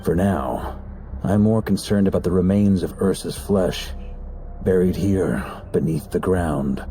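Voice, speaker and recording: An actor playing a composed investigator who explains events in a measured, matter-of-fact way. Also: male voice, low voice, dark tone, slight background noise. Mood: calm. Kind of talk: game cutscene voice acting.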